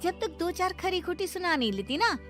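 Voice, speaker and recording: A woman voicing a defiant, sharp-tongued young daughter-in-law in a cartoon moral story, arguing insistently. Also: very high-pitched, sing-song, moderate pace, soft background music, voice pushed up, exaggerated voice. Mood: defiant, annoyed. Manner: argumentative, insistent.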